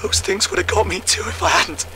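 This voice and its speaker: A man's voice: sits high, a little fast, sharp tone, in a whisper, faint music behind. A man playing a shaken, guilt-ridden colonist in a game audio diary, confessing in a broken, pleading way. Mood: guilty, pleading.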